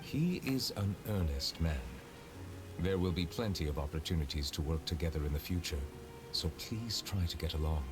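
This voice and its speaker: Reserved male judge; very low, measured, formal. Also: lively intonation. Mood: composed. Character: calm, reserved male chief justice. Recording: game music behind.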